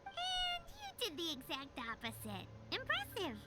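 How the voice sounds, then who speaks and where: A woman voicing a tiny, excitable, childlike fairy companion in a video game, chirpy and eager, with game music loud behind her. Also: very high voice, a little slow, very noisy, full character voice. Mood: sheepish. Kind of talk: video game cutscene dialogue. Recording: game score playing loudly behind.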